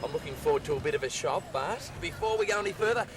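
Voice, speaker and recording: A man's voice: high-pitched, lively intonation, fast. An enthusiastic Australian man presenting a travel documentary in an upbeat way, speaking to the audience. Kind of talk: upbeat presenting, partly to camera, partly scripted narration. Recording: noisy outdoor location sound.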